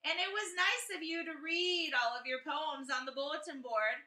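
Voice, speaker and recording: A woman voicing an earnest, gushing schoolboy in an expressive storybook read-aloud, leaning emphatically on repeated words. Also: sits high, pitched above the performer's own voice. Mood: grateful, gushing. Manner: emphatic, halting repetition of words. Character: earnest, gushing schoolboy writing journal poems and letters. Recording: echoey room.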